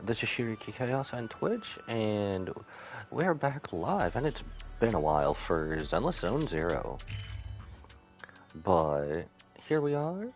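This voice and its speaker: Male game streamer, very low voice with animated intonation, casual rambling commentary. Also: slow, faint music behind. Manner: rambling, drifts into asides. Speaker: live-streamer.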